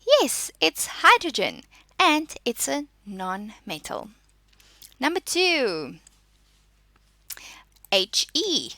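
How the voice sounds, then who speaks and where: A woman teaches a school science lesson in a bright voice with lively, varied intonation. She reads and explains in an instructional way, posing questions and answering them, with a creaky edge in a close, dry sound. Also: high-pitched, very slow, long pauses, no room sound. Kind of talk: school lesson, reading notes and explaining exercises.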